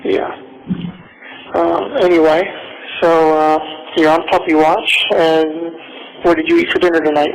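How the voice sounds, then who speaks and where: A man talking casually and haltingly, with many ums, on a recorded jail phone call. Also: a little high, little pitch movement, creaky, a little hiss or hum. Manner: halting, hesitant phrasing full of ums.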